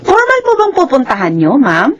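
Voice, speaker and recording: An actress playing an honest, conscientious young housemaid in a radio drama, deferential, hesitant and earnest. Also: female voice, sits high, huge pitch swings, normal speed, highs cut off, exaggerated voice. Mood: polite, eager.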